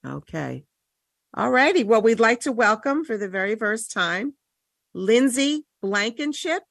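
Woman, radio host, halting restarts, dry close studio sound. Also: a little high, sing-song, creaky, fairly bright tone, no echo. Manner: halting, many restarts while steering the talk. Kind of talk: talk radio hosting.

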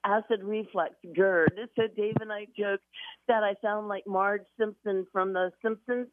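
A woman's voice: mid-range voice, steady tone, measured pace, no room sound. A woman with a scratchy voice calls in to a radio show. She gushes her congratulations over a tinny, dry phone line. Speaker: caller.

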